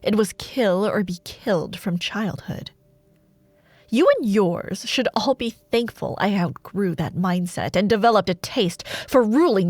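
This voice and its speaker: Woman playing a smug dark warlord villainess, dry close sound. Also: medium pitch, no room sound. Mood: defiant, imperious. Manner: theatrical, measured, sardonic, with lingering pauses. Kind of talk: roleplay audio drama addressed to the listener.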